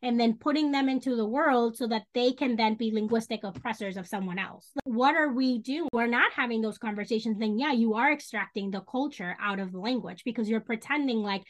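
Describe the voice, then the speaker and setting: Emphatic, high-voiced woman guest. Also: brisk, few pauses. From Dominican Republic and New York City. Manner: animated, emphatic, thinks aloud with many asides. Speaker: guest, clinical social worker. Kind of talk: podcast conversation.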